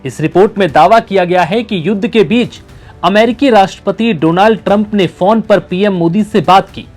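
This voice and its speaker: Guy narrating scripted news, pretty high voice, music playing behind him. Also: a little mellow. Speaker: news presenter. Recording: music bed under the voice. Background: background music.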